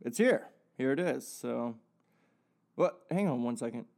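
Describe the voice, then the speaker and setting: A man's voice: medium pitch, lively intonation, a little slow, creaky, a little mellow. A man hosting a comedy podcast, rambling and hesitant as he talks. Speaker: podcast host.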